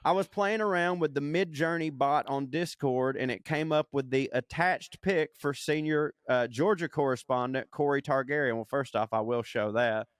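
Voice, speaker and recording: A man reads a listener's email on his podcast, breaking off for crude, amused asides. His voice is smooth and resonant, and the sound is close and dry with no room echo. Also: mid-range voice, normal speed, few pauses. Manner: profane, laughing, reads aloud with asides. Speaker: podcast co-host. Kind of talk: casual chat reading listener email.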